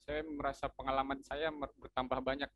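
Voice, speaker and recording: A man's voice: mid-range voice, little pitch movement, fairly quick, no echo, lo-fi sound. A young man from North Sumatra with a Medan-style Indonesian accent, chatting casually as an alumni speaker and explaining things with lots of fillers and restarts. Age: young adult. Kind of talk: casual informational talk.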